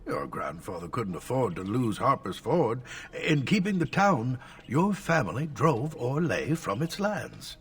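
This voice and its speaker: Elderly male tutor for a fantasy game: measured, husky, expressive, easily exasperated, slightly distant. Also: mid-range voice, dry recording, heavily acted. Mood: lecturing. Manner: measured, lecturing, formal. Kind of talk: video game voice acting.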